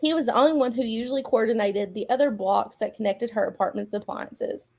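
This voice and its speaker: A woman with a fairly high voice reads a story aloud to friends. She slips between narration and casual, conversational banter. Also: a little fast, vocal fry, darkish tone. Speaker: guest reader on a live show. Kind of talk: dramatic reading aloud mixed with casual banter.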